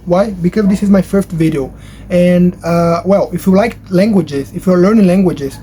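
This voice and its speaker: A man's voice: moderate pace, warm tone. A man whose first language is Portuguese, talking casually to camera from his bedroom in a rambling way with many fillers and restarts. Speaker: language-learning YouTuber. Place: his bedroom at home, near a highway. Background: traffic noise from a nearby highway.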